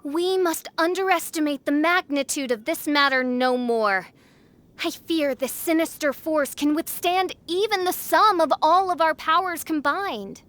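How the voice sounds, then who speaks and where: A woman's voice: high-pitched, measured pace, fairly bright tone. A woman voicing a theatrical teenage girl posing as a haughty princess in a video game, with grandiose, archaic speech. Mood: grave, commanding. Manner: grandiose, archaic, alliterative, melodramatic. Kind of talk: video game character dialogue.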